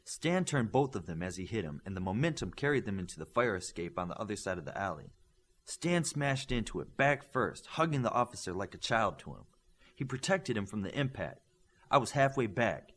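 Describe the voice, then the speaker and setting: A man narrating a superhero story as an audiobook, reading from a script with dramatic, deliberate phrasing. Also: lowish voice, very animated, fairly bright tone.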